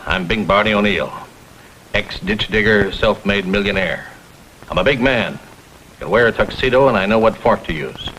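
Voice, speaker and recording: A noisy old TV soundtrack carries a man acting as a gruff, self-made millionaire. His voice sits fairly low, and he talks in blunt, clipped bursts, boastful and protective like a boss used to being obeyed. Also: moderate pace, a bit bright, natural voice. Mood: boastful, threatening. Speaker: actor in a TV crime drama. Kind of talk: vintage TV drama acting.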